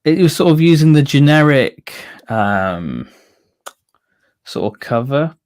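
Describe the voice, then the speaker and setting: A man's voice: fairly high pitch, very animated, slow pace, a little mellow. A man co-hosting a casual fan stream, giving his opinions in an informal way. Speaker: co-host of a fan discussion stream.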